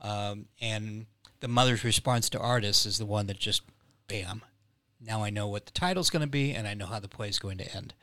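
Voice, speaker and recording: A man's voice: lowish voice, moderate pace, fairly bright tone, no room sound. A man chatting as a playwright guest on a podcast, explaining things with some hesitation. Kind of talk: casual podcast conversation.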